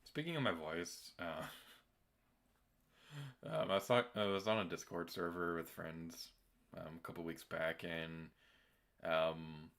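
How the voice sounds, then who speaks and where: A young man with a deep voice giving chatty, self-deprecating commentary while streaming a game. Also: fairly even intonation, slow, pauses often, fairly bright tone. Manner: chatty and self-deprecating, with filler words and laughs. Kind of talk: casual gameplay commentary.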